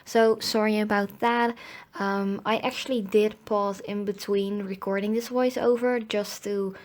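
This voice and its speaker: A woman's voice: mid-range voice, flat delivery, a little slow. A woman from the Netherlands giving a casual, chatty review voiceover, her voice slightly cracky from a cold coming on. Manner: chatty, informal, frequent hedging. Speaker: nail polish reviewer.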